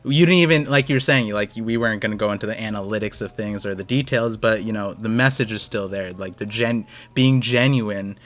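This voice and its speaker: A man's voice: lowish voice, fairly quick, few pauses, fairly bright tone. A man hosting a stream, talking casually and rambling with lots of restarts. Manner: rambling, many fillers and restarts. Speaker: host.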